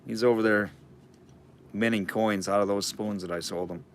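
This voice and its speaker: Hoarse man calling a live auction. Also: lowish voice, fairly even intonation, moderate pace. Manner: chatty, rambling asides between bid calls. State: hoarse, losing his voice.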